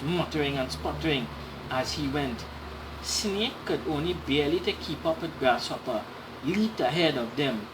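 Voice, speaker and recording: A woman reading a children's story aloud in an animated, dramatic way, with explanatory asides to young listeners. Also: low voice, noisy background.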